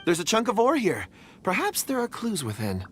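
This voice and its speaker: A woman's voice: mid-range voice, wildly swooping pitch, moderate pace, a little hiss or hum. A woman voicing an earnest, polite, somewhat hesitant young character in a video game. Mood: helpful, focused. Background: game music.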